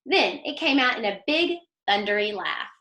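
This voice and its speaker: A woman giving an expressive, teacherly picture-book read-aloud and putting questions to young listeners. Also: a little high, normal speed. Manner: animated, teacherly. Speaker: teacher reading aloud to children.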